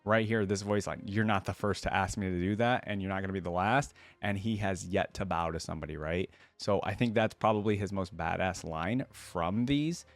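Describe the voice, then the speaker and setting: A man's voice: low-pitched, fairly quick, hardly any pauses, no echo. A man giving casual, chatty, slangy reaction commentary as a video host.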